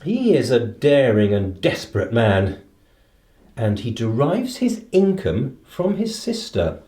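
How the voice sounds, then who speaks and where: An echoing room surrounds a shrewd British detective. He reasons aloud with theatrical authority, rising and falling freely in pitch. The delivery is deliberate and commanding, as in a radio play. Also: big pitch swings, dark tone. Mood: musing, deductive.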